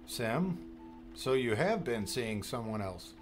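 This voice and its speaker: A man playing a middle-aged, protective and affectionate father with a gentle, dry teasing manner in an audiobook drama. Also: medium pitch, big pitch swings, slow, faint music behind. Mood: caring, curious.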